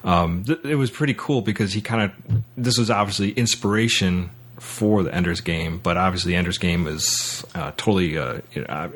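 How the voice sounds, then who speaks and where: A man hosting a podcast, casually discussing film and books in a halting way, often repeating words as he goes. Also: deep voice, a bit bright, breathy edge. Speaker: podcast host.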